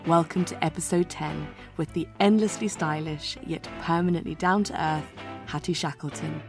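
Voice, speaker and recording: Low-voiced chatty woman host. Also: normal speed, gravelly, over music. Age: 30s. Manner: giggly, chatty, rises in pitch when excited. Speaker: podcast host. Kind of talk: casual interview chat.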